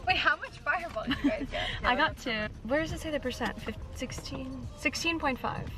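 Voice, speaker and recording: Young female vlogger, chatty and giggly, high animated voice over music. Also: fairly quick, poor audio. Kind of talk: casual vlog chat. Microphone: handheld phone camera.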